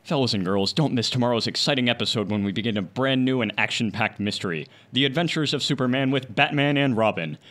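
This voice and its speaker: A man's voice: mid-range voice, fast, few pauses, fairly bright tone. A man narrating as announcer of an old-time radio adventure serial, in a dramatic scripted style.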